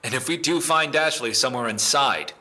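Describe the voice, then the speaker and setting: An actor playing a stern, suspicious police interrogator in an audio drama, formal and pressing. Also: male voice, mid-range voice, brisk, bright, forceful tone. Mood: accusing.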